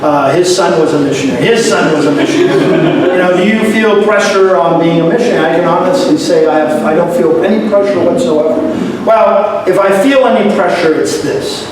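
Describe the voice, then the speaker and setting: A man's voice: high voice, normal speed, crowd noise. A man in his sixties who grew up in Taiwan, giving a retreat testimony as a missionary, telling his story with light humor and many fillers. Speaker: missionary speaker. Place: church hall. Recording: echoey hall from far off.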